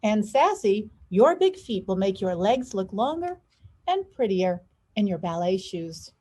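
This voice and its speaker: A woman's voice: medium pitch, very animated, a little slow, a little mellow, husky. A woman voicing a scolding but encouraging mother in a storybook, firm and reassuring.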